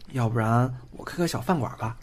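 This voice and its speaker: 一名男演员在广播剧里饰演一个甜蜜害羞、爱说话的年轻男友，语气真诚、带点孩子气和自嘲。. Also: male voice, mid-range voice, a little fast, close to an everyday voice. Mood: teasing, happy. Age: young adult. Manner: earnest, boyish, self-deprecating. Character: sweet, bashful, chatty young boyfriend in love. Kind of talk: Chinese audio drama acting. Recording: music under the voice. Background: background music.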